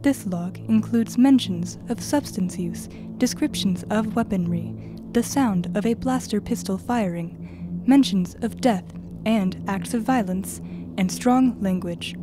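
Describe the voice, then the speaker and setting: A woman voicing a calm, neutral, helpful artificial guide in an audio drama, formal and informative. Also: medium pitch, a little slow, natural voice, low-quality recording. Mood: polite announcing. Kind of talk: audio drama announcing and briefing. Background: music.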